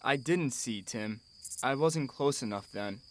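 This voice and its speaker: A man's voice: mid-range voice, animated, measured pace, close to an everyday voice. Man acting a tender, earnest young father-figure. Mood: solemn, wistful. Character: gentle, earnest English husband and expectant father. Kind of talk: radio drama acting.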